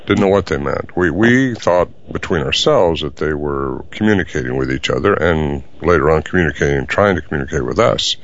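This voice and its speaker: An older man answering interview questions in a halting way, with many fillers. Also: very deep voice, animated. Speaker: guest. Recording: dull lo-fi audio.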